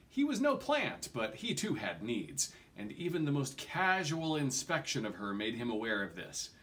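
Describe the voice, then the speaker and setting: A middle-aged man reading a story aloud in a theatrical, deliberate, expressive way. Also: on the low side. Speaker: reader. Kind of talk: expressive audiobook-style storytelling, read aloud. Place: small carpeted room at home.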